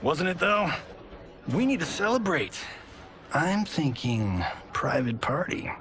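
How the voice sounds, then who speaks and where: An actor playing a cocky, flamboyant action-movie star in a video game cutscene, breezy and boastful. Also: male voice, on the high side, big pitch swings, slow pace, a bit bright, full character voice. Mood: upbeat. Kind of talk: cinematic game cutscene acting.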